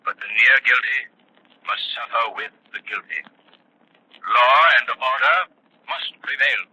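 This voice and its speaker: An actor playing a resolute, mysterious crime fighter in a 1940s radio drama, with deliberate, ominous delivery. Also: male voice, medium pitch, bright, forceful tone, slightly rough, heavily acted, rough recording. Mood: solemn. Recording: old radio recording with faint noise.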